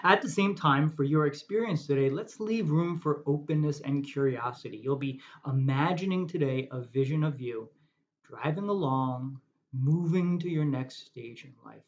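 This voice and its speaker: A man guiding a meditation in a calm, measured way, asking reflective questions. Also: fairly high pitch. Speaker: meditation guide. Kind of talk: guided meditation. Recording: dull lo-fi recording.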